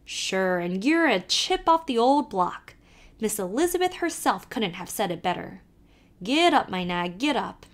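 A woman's voice: on the high side, very animated, unhurried, voice pushed up. A woman voicing a jolly old male peddler with a thick brogue, sly and teasing, in a storybook reading.

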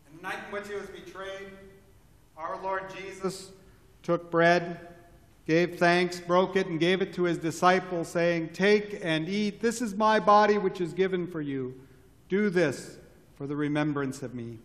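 A man's voice: sits high, fairly even intonation, a bit bright. Solemn male pastor in a reverberant church. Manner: measured, solemn, reciting set prayers. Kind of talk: liturgical speech and chant. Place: large church sanctuary. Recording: church with long reverb and organ.

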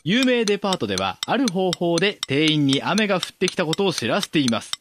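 A man's voice: fairly high pitch, very animated, quick pace, noisy background. A man around thirty co-hosting a casual radio show with a teasing, reactive manner. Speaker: podcast co-host. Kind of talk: casual radio banter and quiz reading. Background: background music.

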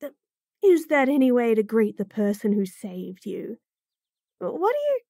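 A woman's voice: a little high, sing-song, moderate pace, vocal fry, mellow, no echo, clean studio sound, exaggerated voice. A woman voicing a bratty, flustered young bunny girl who stammers and giggles nervously in a roleplay audio.

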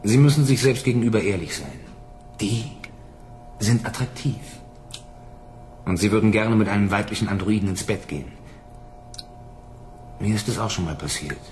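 A man's voice: on the low side, lively intonation, moderate pace, long pauses, a little mellow, slight background noise, lightly acted. A man playing a cynical, cold-blooded bounty hunter in a German radio drama, speaking in a dry, blunt, controlled way. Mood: dry, needling.

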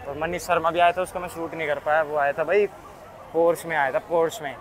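Young male street vlogger, slightly high voice, excitable casual chat, shot on a phone outdoors. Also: brisk, rough recording. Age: young adult. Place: outdoors on streets and grounds near a large crowd. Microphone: phone at arm's length.

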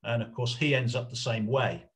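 A middle-aged man giving an informal illustrated history lecture over a video call, explaining in a halting, hesitant way. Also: medium pitch, fairly even intonation, fairly bright tone. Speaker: lecturer, researcher presenting a talk.

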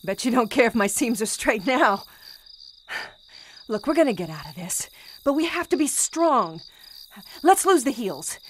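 An actress playing a determined, bossy bank teller on the run in a period suspense audio drama, urgent and taking charge. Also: female voice, fairly high pitch, big pitch swings, measured pace, long pauses, slight background noise. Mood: resolute.

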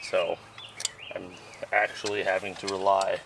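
Low-voiced joking man fixing a tractor. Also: flat delivery, a little slow, creaky, very noisy. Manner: chatty and joking, swears casually, mutters while working. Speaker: vlog host fixing an old tractor. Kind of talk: casual how-to narration while working. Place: outdoors on a farm.

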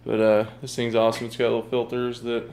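A man speaks in an easygoing, rambling way, filming on a handheld phone in his garage. His pitch sits in the middle and barely moves, so he sounds fairly flat. A faint hum runs under the recording. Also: quick pace, gravelly. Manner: relaxed, rambling, plain-spoken with fillers. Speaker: product reviewer. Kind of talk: casual product walkthrough.

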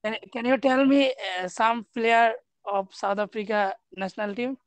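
A man's voice: high-pitched, normal speed, clean tone, no room sound. A young man, a student, works his way through English in hesitant, broken phrases. His voice is dry and close, recorded on a phone at arm's length in a small room. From Bangladesh. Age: young adult. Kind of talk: halting conversation practice.

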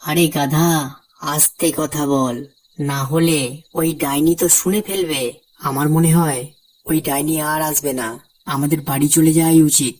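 A woman's voice: deep voice, fairly even intonation, normal speed, long pauses, darkish tone, slight background noise, natural voice. A woman voicing a worried older village man in a cartoon, anxious and scolding. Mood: hushed.

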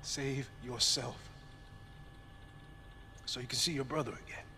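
Stoic middle-aged American cop, wounded, protective, grave forceful voice, game ambience behind. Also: male voice, medium pitch, fairly even intonation, a little slow, slight background noise. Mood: grave, urgent. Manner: grave, earnest. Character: wounded, protective, stoic male police officer. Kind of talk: video game cutscene acting.

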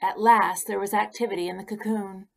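A woman narrating a children's picture book aloud in an expressive, deliberate way. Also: medium pitch, gravelly. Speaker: children's story reader. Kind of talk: read-aloud storybook narration.

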